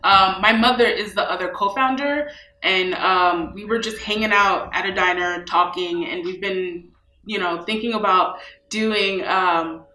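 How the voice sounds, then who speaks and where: A woman's voice: mid-range voice, measured pace, bright, forceful tone, reverberant, rough recording. A young woman from New York, a film festival co-founder, speaking in a casual interview with many fillers. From New York, USA. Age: young adult.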